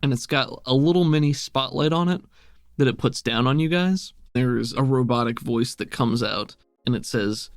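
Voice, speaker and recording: A man's voice: mid-range voice, moderate pace, dry recording, clean studio sound. A man working as game master, casually improvising narration and rulings for a tabletop game.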